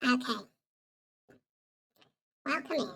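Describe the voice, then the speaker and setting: High, bright babyish voice, put on by a male streamer, unhurried. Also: steady tone, slight background noise, exaggerated voice. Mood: chirpy. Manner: slow, sing-song baby voice.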